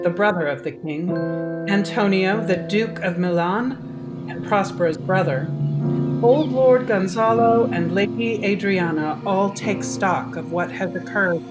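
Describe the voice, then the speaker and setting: A woman's voice: mid-range voice, unhurried, rough recording. A woman reading scripted scene-setting narration for an audio play. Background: loud music with guitar and piano.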